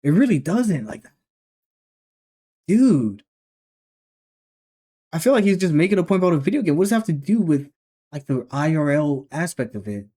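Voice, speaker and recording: A man's voice: on the high side, big pitch swings, moderate pace, pauses often, mellow. Young man rambling through a reaction. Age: 20s. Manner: rambling, thinking aloud with restarts. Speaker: reaction video host. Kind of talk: casual reaction commentary.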